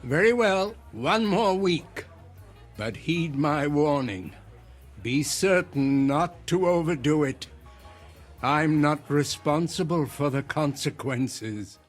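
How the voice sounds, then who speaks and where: Slow-talking, genteel, sinister older man. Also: on the high side, lively intonation, soft background music. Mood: grave warning. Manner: measured, savoring, ornate phrasing. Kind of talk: radio drama acting.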